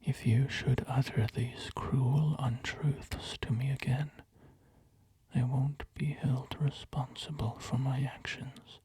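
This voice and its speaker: A man in a slow, hushed whisper, voicing a jealous male devotee who dreams of his saint's poison. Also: medium pitch, little pitch movement, slightly rough, dry recording, rough recording. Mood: indignant.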